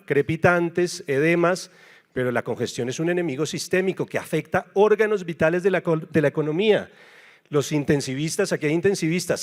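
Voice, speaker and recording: Man, Colombian accent, slightly high pitch, medical lecturer, conversational, emphatic. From Bogotá, Colombia. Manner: conversational, emphatic, often adds tag questions. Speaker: nephrologist, conference speaker.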